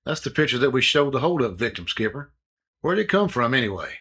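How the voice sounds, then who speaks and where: An actor playing a calm, methodical male detective partner in a radio drama. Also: medium pitch, fairly quick, slightly rough, heavily acted. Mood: explaining.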